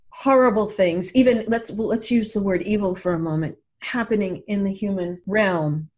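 A woman's voice: mid-range voice, a little mellow. Earnest woman, slightly dull lo-fi audio. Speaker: author and podcast guest. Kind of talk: reflective explanatory talk about own ideas.

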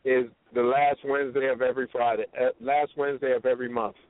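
A man's voice: mid-range voice, level tone, fast. A man speaking as a comedian guest in a casual radio interview, slangy and hyping, repeating phrases for emphasis. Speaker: comedian guest promoting live shows. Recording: tinny phone line, dry.

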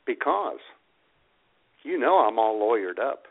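Wisecracking man with lively pitch, tinny audio. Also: unhurried. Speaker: radio show co-host. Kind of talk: casual comic banter. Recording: tinny phone-like radio audio.

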